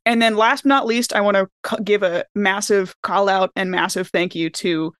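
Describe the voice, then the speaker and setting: A woman's voice: medium pitch, steady tone, normal speed, fairly bright tone, dry recording. Enthusiastic woman podcaster, dry close sound. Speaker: podcast cast member. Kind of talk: casual round-table chat.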